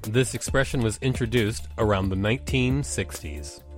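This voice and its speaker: A man's voice: on the low side, moderate pace, soft background music. A man co-hosting a podcast, reading articles aloud and adding casual commentary. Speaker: podcast co-host.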